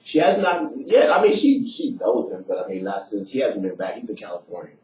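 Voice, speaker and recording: A man's voice: on the low side, sing-song, a little fast, a little mellow. A middle-aged man, a radio co-host, chatting casually and joking with frequent laughs. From Cuba. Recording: roomy, distant, dull lo-fi radio audio.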